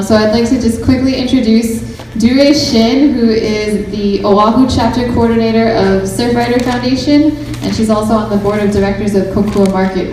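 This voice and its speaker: A woman's voice: medium pitch, dark tone. A woman hosting an event who introduces the next speaker informally. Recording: echoey room from far off, light noise.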